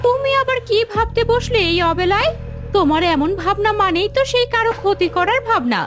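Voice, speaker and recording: A woman voicing a principled, plain-spoken wife who admonishes her husband in an animated moral story. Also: extremely high pitch, fairly quick, soft background music, exaggerated voice. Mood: reproachful.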